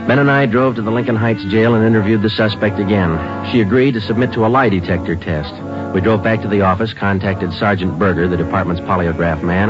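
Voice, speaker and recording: A man's voice: on the low side, close to an everyday voice. A man acting a terse, matter-of-fact American police detective in a 1950s radio drama. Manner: terse, deadpan. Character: matter-of-fact male police detective sergeant who narrates. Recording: dull old radio recording, music behind.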